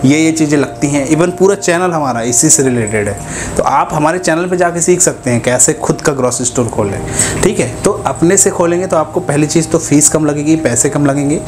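A man's voice: on the high side, fairly quick, over music. A young man talking casually to camera as a business advice YouTuber, often asking his viewers "right?" between points, recorded on a lapel mic indoors. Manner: conversational, keeps asking "है ना", gestures with both hands. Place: indoors, grocery-store backdrop.

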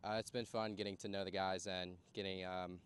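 A young man from New Jersey, pausing with ums, speaking into a handheld mic on an outdoor soccer field. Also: low-pitched, flat delivery, smooth. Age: young adult. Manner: hesitant, with ums. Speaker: college soccer recruit. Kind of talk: casual on-camera interview.

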